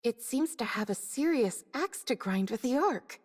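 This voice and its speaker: A high-voiced practical squad member. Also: female voice, lively intonation, normal speed, long reverb tail. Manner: practical, matter-of-fact.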